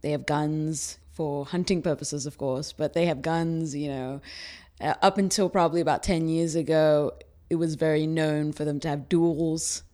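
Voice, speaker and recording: A woman from Greece talks as a podcast guest, easygoing and rambling. She fills her sentences with "you know". Her voice sits very low for a woman. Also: steady tone, normal speed, dark tone. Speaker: musician guest. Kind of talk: casual interview chat.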